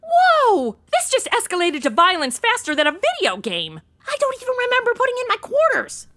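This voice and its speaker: A woman's voice: extremely high pitch, huge pitch swings, moderate pace, a bit bright, full character voice. An actress playing a flippant, ditzy modern young woman, sassy and unfazed, in a comedic audio drama. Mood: alarmed. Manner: sassy, exaggerated modern slang delivery.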